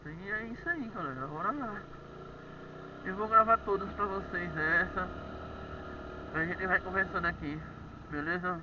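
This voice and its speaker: A man with a Brazilian accent chatting informally to his viewers while he rides a motorcycle outdoors. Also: high-pitched. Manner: informal, addresses viewers repeatedly. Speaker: motorcycle vlogger and delivery rider. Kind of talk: casual chat to viewers while riding. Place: outdoors on a moving motorcycle at night. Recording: muffled action-camera audio with loud engine noise. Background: motorcycle engine and road noise.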